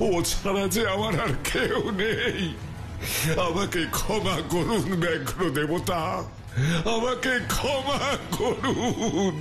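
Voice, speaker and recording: Cartoon monarch: proud bereaved father, high-pitched, theatrical and impassioned, over music. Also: lively intonation, takes it slowly, a bit bright, heavily acted. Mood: desperate pleading. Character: proud, vengeful king and grieving father. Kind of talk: cartoon voice acting.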